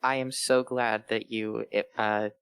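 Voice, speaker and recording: A man's voice: fairly low pitch, little pitch movement, slow, a bit bright, smooth, no room sound. Man, casual banter, absurd joker, dry close mic. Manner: riffs with dry absurd jokes. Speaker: co-host of a comedy reading show.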